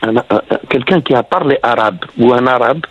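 Husky man calling in over a muffled line. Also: mid-range voice, brisk. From Morocco. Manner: digressive, repeats words while searching. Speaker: caller. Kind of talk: casual phone-in chat.

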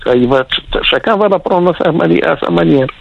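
A man's voice: fairly high pitch, brisk, vocal fry, a little hiss or hum. A man calling a radio phone-in show, talking in a digressive way and repeating words while he searches for them. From Morocco. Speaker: caller. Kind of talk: casual phone-in chat. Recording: muffled phone line.

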